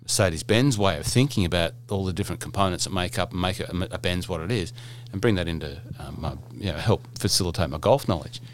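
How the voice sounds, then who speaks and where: Middle-aged male podcast host, low voice, rambling and jokey, loose casual delivery. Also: quick pace, few pauses, slight background noise. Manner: chatty, rambling, jokey, many fillers and restarts.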